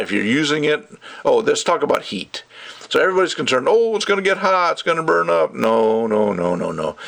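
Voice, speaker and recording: A man's voice: medium pitch, vocal fry, fairly bright tone. A man giving a casual, rambling product review in an opinionated, emphatic way with conversational asides. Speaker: gadget reviewer.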